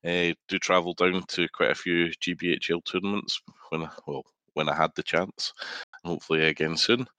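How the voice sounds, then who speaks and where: Deep bright-toned Scottish man. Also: little pitch movement, fairly quick, no room sound. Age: middle-aged. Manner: friendly, hesitant uhs. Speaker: podcast guest. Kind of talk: casual interview chat.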